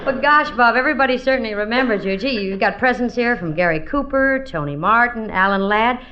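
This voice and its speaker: On a dull, very noisy vintage radio recording with clicks, an American woman plays comedy sidekick in 1940s style. Her voice is fairly high and very animated, with an earnest gee-whiz delivery. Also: brisk. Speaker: comedy sidekick feeding straight lines.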